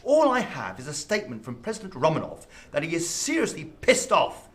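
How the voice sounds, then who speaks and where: A man plays an exasperated diplomat in a political radio drama. His voice is fairly high and swoops about as he speaks with sarcastic, accusing bite. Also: huge pitch swings, fairly quick. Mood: exasperated, scathing. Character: exasperated, scathing male government diplomat.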